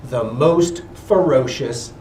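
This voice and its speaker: A man giving an expressive picture-book reading to children, pausing for little asides. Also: medium pitch. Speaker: guest reader at a school. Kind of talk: animated picture-book read-aloud to children. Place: indoor school room with children. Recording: roomy, distant mic with background noise.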